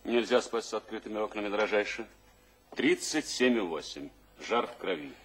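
Low, husky, cryptic companion. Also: male voice, normal speed. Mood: cryptic, dry. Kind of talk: audio drama acting.

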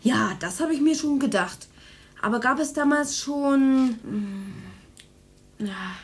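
A woman's voice: high-pitched, animated, slow pace, exaggerated voice. A woman playing a curious, eager twelve-year-old boy in a radio drama. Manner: eager, childlike.

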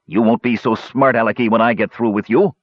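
A man's voice: medium pitch, fairly even intonation, brisk, husky, no room sound, highs cut off, close to an everyday voice. A man doing a fat sneering hobgoblin warlord. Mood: snappish. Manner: blustering, sneering. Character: fat pompous hobgoblin warlord, cruel, male.

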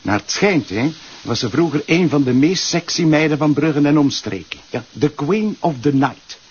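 Dry Flemish detective, husky, middle pitch, lo-fi. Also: male voice, expressive, normal speed. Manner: polite, dry, skeptical. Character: dry skeptical male police detective. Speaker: police detective.

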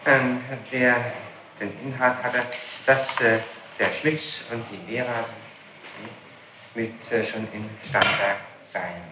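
A man working as a public prosecutor, conducting a formal interrogation in halting, official phrasing and reading out statements. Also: mid-range voice, fairly even intonation. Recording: noisy, echoey archival interrogation tape.

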